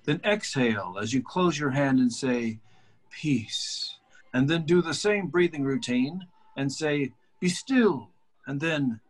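A man reading the part of a sympathetic, slightly pushy therapist in a sitcom table read, with a husky voice. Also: on the high side, poor audio. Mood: soothing, instructing. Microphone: video call.

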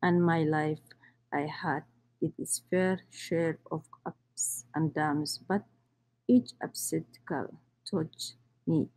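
Woman in her 30s, reading her story slow and halting, low mellow voice. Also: fairly even intonation. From Somalia. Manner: halting, with restarts and non-native grammar. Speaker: immigrant storyteller.

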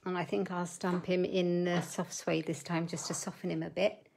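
A woman's voice: on the low side, little pitch movement, moderate pace, creaky, a little mellow, a little hiss or hum. A middle-aged woman hosting a live crafting demo from her desk at home, chatting with viewers and muttering asides as she works. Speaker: papercraft host.

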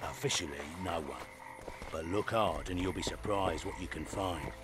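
An actor playing a boastful, scheming fight promoter in a video game, with a grandiose way of talking. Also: male voice, low-pitched, normal speed, background noise, lightly acted. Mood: sly, pitching. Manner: grandiose, speaks of himself in third person.

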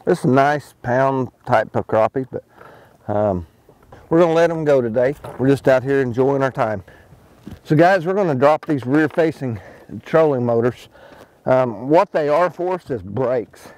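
A man's voice: mid-range voice, animated, unhurried, darkish tone, poor audio. A middle-aged man, a fishing pro, talking casually on a boat on an open lake, explaining as he fishes with plenty of ums and restarts. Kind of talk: casual how-to fishing talk.

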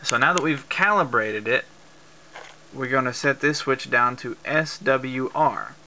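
A man's voice: medium pitch, measured pace. A man giving an informal hands-on tutorial, explaining things plainly step by step. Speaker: amateur instructor demonstrating CB radio use. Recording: faint background noise, clicks, amateur camera.

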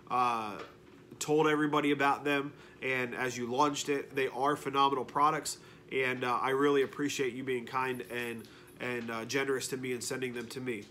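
A man's voice: mid-range voice, pushed and bright, a little hiss or hum. A man in his thirties with a nasal, congested voice from a cold, casually reviewing beard products from his living room couch and often repeating words for emphasis.

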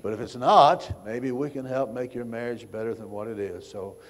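A man's voice: mid-range voice, normal speed. A man making an informal announcement to a congregation as a church speaker.

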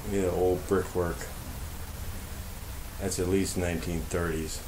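A man's voice: low-pitched, little pitch movement, a little slow. A man muttering sweary, off-the-cuff commentary to himself as he explores with a handheld camera. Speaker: urban explorer filming. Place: in and around an abandoned house. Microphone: handheld compact camera's built-in mic. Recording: very noisy handheld camera, outdoor ambience.

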